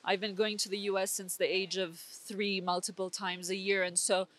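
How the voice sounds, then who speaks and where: A woman's voice: medium pitch, flat delivery, moderate pace, fairly bright tone. Intense woman from Switzerland, urgent. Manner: intense, urgent, with frequent restarts. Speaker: interview guest. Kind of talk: earnest political commentary. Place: conference venue.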